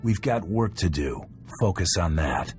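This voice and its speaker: A man's voice: low-pitched, very animated, a little slow, a little mellow, faint music behind. An actor playing a confident, curt and businesslike male bounty hunter in a video game. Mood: stern. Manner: terse, cocky, businesslike.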